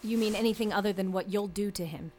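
A woman's voice: mid-range voice, fast talker, darkish tone, a little hiss or hum, close to an everyday voice. An actress playing an older mother who is guarded, firm and protective of her son. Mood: wary. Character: older mother, wary and defiant. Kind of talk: audio drama acting.

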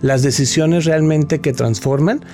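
A man's voice: a little high, measured pace, mellow, faint music behind. A man, a guest expert answering interview questions in an explanatory way, often restarting his sentences.

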